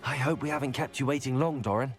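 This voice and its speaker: A man's voice: medium pitch, quick pace, soft background music, natural voice. A young man acting as an earnest, determined student wizard in a video game, polite and direct in manner. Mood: alert, polite. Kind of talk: video game cutscene dialogue.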